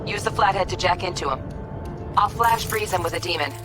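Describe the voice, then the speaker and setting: An actress playing a brisk, sardonic female hacker guiding a heist crew in a video game, in a dry, clipped, slangy way. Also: fairly low pitch, lightly acted. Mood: focused, businesslike. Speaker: netrunner character in a game. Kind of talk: naturalistic video game voice acting. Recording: music behind the voice.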